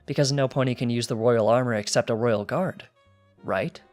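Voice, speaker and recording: A man voicing a skeptical pony guard in a story reading, brisk, incredulous and exasperated. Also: mid-range voice, a little mellow, faint music behind, lightly acted. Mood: scornful. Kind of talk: fan fiction character dialogue.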